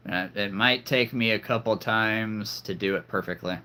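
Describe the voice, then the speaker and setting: A man's voice: fairly low pitch, moderate pace, pushed and bright. A man hosting a live stream, rambling casually and making cheesy jokes to his viewers. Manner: rambling, jokey, punning.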